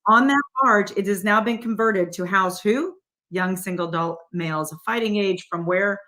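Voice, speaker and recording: A middle-aged woman with a mid-pitched voice talks as an interview guest. She presses her points hard, throws rhetorical questions at the listener and speaks to viewers directly. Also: moderate pace, sharp tone. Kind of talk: emphatic political interview talk.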